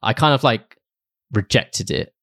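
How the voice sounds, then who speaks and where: A man's voice: on the low side, expressive, unhurried, vocal fry, fairly bright tone, no room sound, studio quality. A young man hosting a podcast in a casual, informal, somewhat hesitant way, often restarting his thoughts. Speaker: podcast host. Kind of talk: casual chat.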